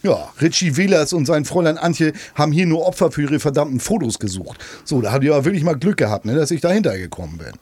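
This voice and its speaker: A man playing a rough, folksy pub landlord in a comic radio play, rambling and boastful, with a husky voice. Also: fairly high pitch, expressive, fast, hardly any pauses, darkish tone, no echo. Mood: confiding, proud. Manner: folksy, rambling, tags sentences with "ne".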